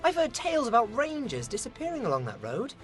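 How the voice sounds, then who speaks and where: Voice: medium pitch, huge pitch swings, quick pace, faint music behind. An actor playing a cautious, wary ranger in an amateur fantasy audio play, talking with foreboding. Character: cautious, wary young ranger warning of evil.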